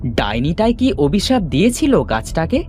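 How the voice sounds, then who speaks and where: A woman voicing a brave, earnest young male hero in a cartoon fairy tale, with expressive delivery. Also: sits low, normal speed. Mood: curious.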